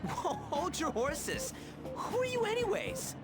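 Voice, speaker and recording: A man's voice: very high-pitched, sing-song, a little slow, heavily acted. A man voicing a brash, skeptical teenage delinquent boy in an anime video game, blunt and slangy. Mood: suspicious, brash. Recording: game dialogue under loud music.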